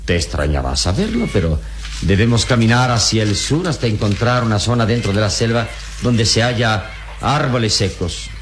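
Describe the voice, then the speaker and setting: An actor playing a calm, wise and fearless adventurer hero in a radio serial, speaking in a measured, reassuring, paternal way. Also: male voice, fairly low pitch, a little hiss or hum, lightly acted. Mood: deliberate, resolute. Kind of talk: Latin American radio serial acting. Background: dramatic background music.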